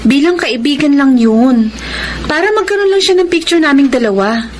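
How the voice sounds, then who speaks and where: A woman acting a kind, hesitant young woman in a Filipino radio drama, speaking gently with a Filipino accent. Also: high-pitched, lively intonation, normal speed, a little hiss or hum. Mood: defensive.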